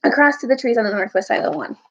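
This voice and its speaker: Animated woman reading a storybook aloud. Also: a little high, racing. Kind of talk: expressive read-aloud of a children's novel. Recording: dull lo-fi audio.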